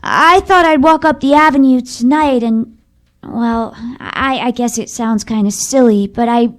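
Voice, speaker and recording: A woman voices a young street boy in an old-fashioned radio play. The voice sits very high and sounds earnest and boyish. The delivery is polite, a little shy and plucky. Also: measured pace, close to an everyday voice. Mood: shy, hopeful. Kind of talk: old-time radio drama acting.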